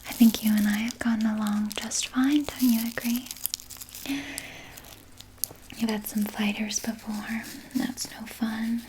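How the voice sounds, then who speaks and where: A woman voicing a sly, doting vampire nurse in a breathy, soothing whisper for an ASMR roleplay. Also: fairly high pitch, level tone, background noise, lightly acted. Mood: playfully sinister. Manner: slow, soothing, coaxing, softly articulated. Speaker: ASMR performer.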